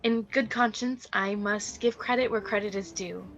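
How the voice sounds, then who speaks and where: An actress playing a celebrated young stage star in a radio drama, poised and falsely humble, speaking in measured, formal tones. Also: female voice, mid-range voice, fairly even intonation, lo-fi sound, natural voice. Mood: gracious, grand. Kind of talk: theatrical radio drama acting.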